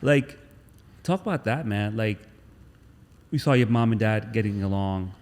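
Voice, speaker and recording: A man hosts a podcast, gushing thanks and eagerly stacking up follow-up questions, often repeating words. His voice sits on the low side, and it lingers in a reverberant room. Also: unhurried, darkish tone. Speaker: podcast host. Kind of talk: casual interview.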